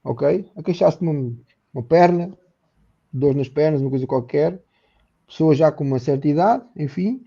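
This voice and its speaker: Portuguese-accented male therapist guest, slightly high and gravelly, earnest and explanatory. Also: normal speed, warm tone, lo-fi sound. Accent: European Portuguese. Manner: earnest, explanatory, repeats words while thinking. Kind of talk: conversational podcast interview.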